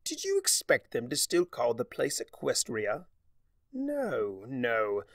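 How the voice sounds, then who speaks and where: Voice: medium pitch, wildly swooping pitch, takes it slowly, dry recording, clean studio sound, exaggerated voice. A man voicing a smug, theatrical trickster spirit who lectures in a drawling, mocking way. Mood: smug, showy. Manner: drawling, mocking, grandly explanatory.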